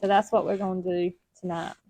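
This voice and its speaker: A middle-aged woman who talks casually to the camera in a soft, plain and hesitant way, with many ums. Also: fairly low pitch, little pitch movement, fairly quick, a little hiss or hum. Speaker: homestead vlogger.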